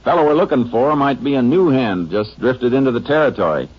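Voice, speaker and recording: An American actor playing a steady, businesslike Texas Ranger in an old radio drama. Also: male voice, medium pitch, fairly quick, husky. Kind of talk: 1950s radio drama acting and narration. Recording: noisy, muffled old-time radio recording.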